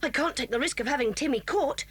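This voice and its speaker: A woman's voice: sits high, expressive, quick pace. A woman acting a determined, headstrong British tomboy in a children's audio drama. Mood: anxious, resolute.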